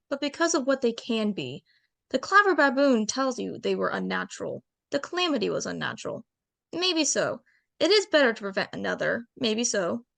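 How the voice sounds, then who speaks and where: A woman's voice: a little high, creaky, a bit bright, exaggerated voice. A woman playing an earnest, pleading bear. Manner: earnest, pleading speech-making. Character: female bear, earnest impassioned council speaker. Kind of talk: amateur radio drama table read.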